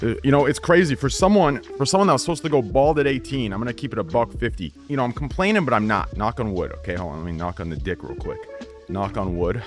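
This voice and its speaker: A man's voice: mid-range voice, lively intonation, quick pace, soft background music. A man chatting casually on a livestream as a streamer, blunt, profane and rambling. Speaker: Twitch streamer.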